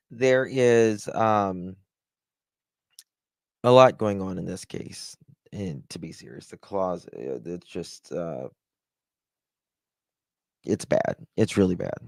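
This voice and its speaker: A man's voice: sits low, no echo. A man hosting a casual live stream, chatty and self-deprecating, thanking viewers in chat by name. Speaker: livestream host.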